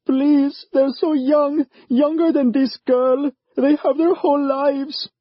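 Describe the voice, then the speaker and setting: A man voicing a frantic mother who begs in a shrill, desperate voice. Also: fairly even intonation, takes it slowly, dry recording. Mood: begging. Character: frantic mother of young boys, begging. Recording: dull lo-fi audio.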